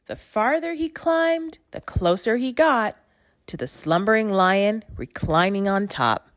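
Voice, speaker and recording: A woman giving an expressive read-aloud narration of a rhyming children's story. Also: medium pitch, unhurried, no room sound, clean studio sound. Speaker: storybook reader.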